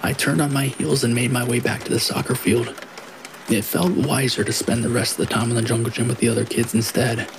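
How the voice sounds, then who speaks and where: A man reads scary stories as a scripted narration. His mid-range voice has a noticeable creaky, gravelly edge. Steady rain is clearly heard behind him throughout. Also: medium pitch, brisk, noisy background. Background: rain falling.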